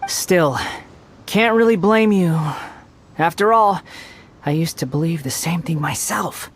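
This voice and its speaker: A man's voice: fairly high pitch, a little slow. A man voicing a haughty young villain in an anime video game, mocking, sneering and theatrical. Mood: gloating. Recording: game music behind. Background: game music.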